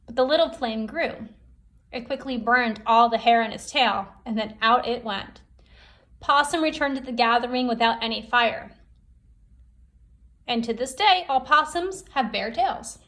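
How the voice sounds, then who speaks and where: A woman with a slightly high voice reads a children's story aloud. She sounds like a teacher, telling it deliberately and with expression. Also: fairly bright tone.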